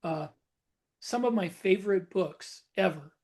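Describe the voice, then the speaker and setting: High-voiced man, wry podcast co-host. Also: unhurried, a little mellow. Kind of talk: casual chat.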